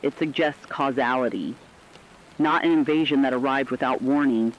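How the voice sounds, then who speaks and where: A man playing a grave radio co-anchor, reading a scripted report in a formal, ominous, deliberate way. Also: medium pitch, close to an everyday voice. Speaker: news co-anchor. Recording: dull lo-fi broadcast with faint rain noise.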